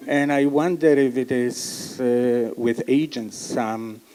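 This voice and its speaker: A man from Poland in his sixties, speaking English with a Polish accent, asking a question from the audience with hesitant pauses. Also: mid-range voice, steady tone, mellow, clear, resonant tone. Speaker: audience member.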